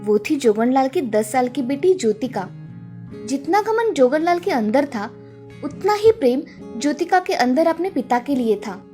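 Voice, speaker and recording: A woman's voice: high-pitched, very animated, normal speed, dark tone, soft background music. A woman narrating a scripted moral tale as storyteller.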